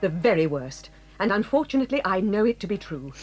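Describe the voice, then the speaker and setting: An actress playing a stern, dignified headmistress who reproves pupils in a measured way, in a 1980s audio drama. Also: female voice, mid-range voice, sing-song, soft background music.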